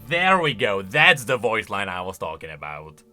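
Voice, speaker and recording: Animated, bright-voiced man reacting. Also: lowish voice, moderate pace, faint music behind. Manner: animated, exclamatory, thinking aloud. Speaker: video creator and commentator. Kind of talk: casual reaction commentary.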